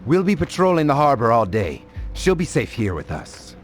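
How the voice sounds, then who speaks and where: An actor playing an eager resistance foot soldier in a video game, earnest and animated in delivery. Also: male voice, medium pitch, normal speed, lightly acted. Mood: earnest, deferential. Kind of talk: video game cutscene voice acting. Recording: faint music behind the dialogue.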